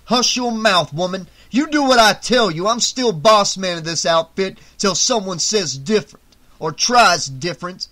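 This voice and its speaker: A tough, hot-tempered gang boss, acted by a male narrator in an audiobook. He is bossy and slangy, with a forceful, raised voice. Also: high voice, lively intonation, moderate pace, pitched above the performer's own voice. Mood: commanding.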